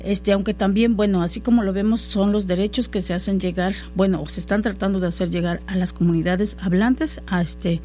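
A woman whose first language is Totonac answers interview questions in a mellow, soft-edged voice. She talks haltingly, circling back with fillers and restarts. Faint music plays underneath. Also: medium pitch, steady tone. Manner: hesitant, many fillers and restarts. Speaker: guest interviewee. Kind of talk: conversational interview.

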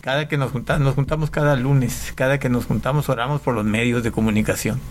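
A man from Panama, a pastor and guest, telling his faith story in conversation, halting with frequent restarts. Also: medium pitch, fairly even intonation, slight background noise. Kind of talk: conversational testimony.

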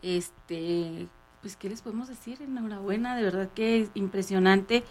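Northern Mexican woman with a mid-pitched voice. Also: normal speed, a little mellow. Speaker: radio co-host. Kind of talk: casual radio chat.

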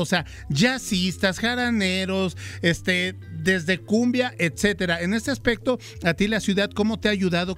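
A man who grew up in Mexico after coming from Argentina, co-hosting a radio show with a chatty, joking manner. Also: sits high. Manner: jokey, chatty and warm with guests. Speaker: radio host. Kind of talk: radio interview.

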